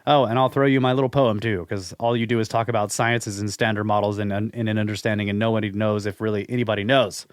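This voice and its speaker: A man co-hosting a podcast, reading a listener's email aloud and then chatting about it in a relaxed, skeptical way. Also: on the low side, quick pace, hardly any pauses, clean tone, no echo, clean studio sound. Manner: laid-back, skeptical, chuckles often. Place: studio.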